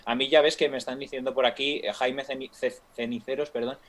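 A man's voice: medium pitch, little pitch movement, brisk. Young Spanish man, hesitant and friendly. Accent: Peninsular Spanish. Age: young adult. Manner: hesitant, many fillers and restarts, friendly. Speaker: guest YouTuber. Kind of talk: casual live-stream chat.

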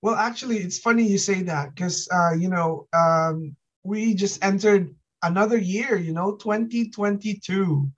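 Filipino man, podcast co-host, relaxed chat with lots of "you know", slightly dull lo-fi sound. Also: sits high, a little slow, hardly any pauses. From Philippines. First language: Ilocano. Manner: many fillers, relaxed and reflective. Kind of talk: casual chat.

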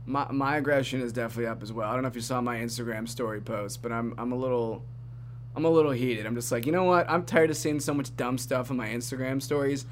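A man hosting a podcast in a casual, upbeat way, chatty and quick to laugh. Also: mid-range voice, a little hiss or hum. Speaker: podcast host. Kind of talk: casual chat.

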